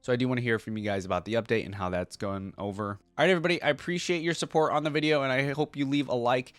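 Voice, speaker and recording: A man's voice: medium pitch, racing, a bit bright, no room sound. A man hosting a reaction channel, giving casual fan commentary straight to viewers in a conversational way, often checking in with "right?" and "you guys".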